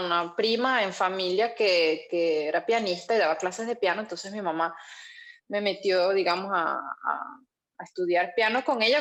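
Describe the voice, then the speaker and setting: A young woman from Caracas with a Venezuelan accent, chatting casually as an interview guest and filling her pauses often. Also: medium pitch. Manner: chatty, many fillers like "este" and "bueno". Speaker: guest musician.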